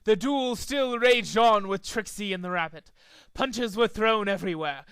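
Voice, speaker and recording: A man's voice: high-pitched, moderate pace, a bit bright, smooth, no room sound. A man reading a story aloud as a dramatic amateur narrator, sometimes stumbling over the words. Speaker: amateur fan fiction reader.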